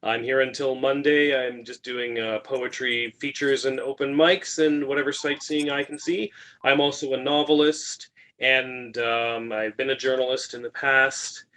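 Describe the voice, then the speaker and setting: A man from Canada speaks casually about himself on a video call. His voice is of average pitch, in an informal introductory style. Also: steady tone, a little slow, pushed and bright. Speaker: novelist and poet.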